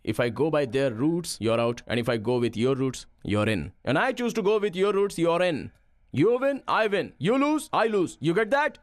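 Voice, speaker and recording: An actor playing a brisk, encouraging male call centre trainer in film dialogue. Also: medium pitch, darkish tone, dry recording, lightly acted. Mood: firm, encouraging.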